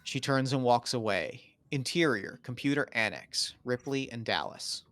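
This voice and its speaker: A man's voice: mid-range voice, lively intonation, moderate pace, fairly bright tone, no echo. A man reading stage directions as the narrator of a scripted table read.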